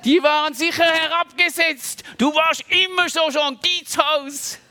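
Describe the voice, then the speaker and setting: A man's voice: very high-pitched, fairly even intonation, a little slow, bright, forceful tone, dry recording, voice pushed up, full character voice. A man voicing a nagging older wife who mocks her husband over old grudges. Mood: reproachful. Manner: mocking, reproachful.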